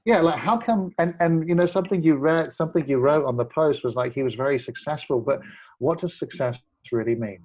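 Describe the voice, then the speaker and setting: A man's voice: a little high, a little fast, a little mellow, muffled recording. A man in his forties, a guest telling his story earnestly and reflectively in a casual chat. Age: 40s. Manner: earnest, reflective, many fillers and restarts. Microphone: video call.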